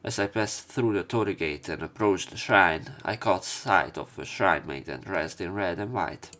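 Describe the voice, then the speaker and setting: A man's voice: low-pitched, normal speed, a bit bright. A man reading visual novel story text aloud as a let's play narrator, with some commentary. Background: game music.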